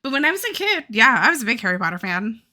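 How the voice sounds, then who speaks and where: Young southern girl streaming, chatty, cusses a lot, kinda high voice, real bright. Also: very animated, quick pace. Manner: chatty, rambling, swears and laughs often. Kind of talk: casual chat while drawing on a live stream.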